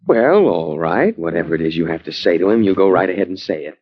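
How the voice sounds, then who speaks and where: Two-faced brother, American, low husky voice, falsely calm, old radio crime drama, dull vintage sound. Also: male voice, quick pace. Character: treacherous embezzling brother feigning calm concern. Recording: muffled vintage radio broadcast recording.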